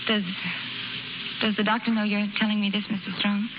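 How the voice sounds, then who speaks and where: An actress in a 1930s radio drama playing a plucky young circus woman who speaks plainly and haltingly, with earnest feeling. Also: medium pitch, completely flat delivery, close to an everyday voice. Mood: hurt, resigned. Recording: crackly, muffled 1930s radio broadcast recording.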